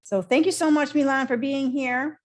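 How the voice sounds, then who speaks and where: A woman's voice: high-pitched, steady tone, normal speed. A woman hosting an interview, introducing and prompting her guest in an informal, conversational way.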